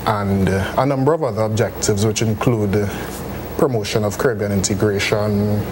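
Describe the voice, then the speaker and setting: A man's voice: lowish voice, fairly even intonation, normal speed. A young man from Trinidad and Tobago, a student guest explaining his program formally in an interview. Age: young adult. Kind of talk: interview answers. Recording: noisy TV recording.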